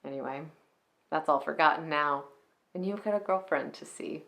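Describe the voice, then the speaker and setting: Woman acting an earnest, flustered young shopgirl, lowish voice. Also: moderate pace, gravelly, a bit bright. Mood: wistful, rueful. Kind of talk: film monologue acting, romantic comedy.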